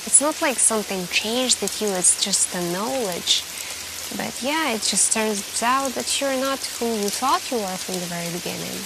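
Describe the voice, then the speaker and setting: A woman playing a shaken, secretive hacker friend in a soft-spoken ASMR roleplay, protective and hesitant as she confides. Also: fairly high pitch, lively intonation, vocal fry, very noisy. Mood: reassuring, earnest. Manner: hesitant, halting, hushed and confiding.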